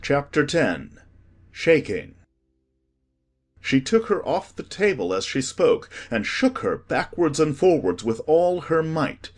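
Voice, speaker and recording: A man narrating a scripted storybook in an expressive, deliberate way. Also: a little high. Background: music.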